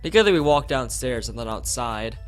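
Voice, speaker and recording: A man's voice: medium pitch, animated, a little fast. A man streaming a visual novel, chatting casually and reading the text aloud, sometimes stumbling over and repeating phrases. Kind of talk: casual game commentary and read-aloud of on-screen text. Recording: music playing behind the voice. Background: game music.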